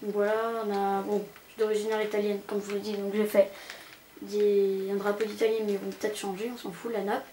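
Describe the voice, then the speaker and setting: A woman's voice: medium pitch, little pitch movement, brisk, warm tone, rough recording. A young woman giving a casual, rambling, hesitant commentary as she tours a video game. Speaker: gaming channel host. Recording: amateur home recording with background noise.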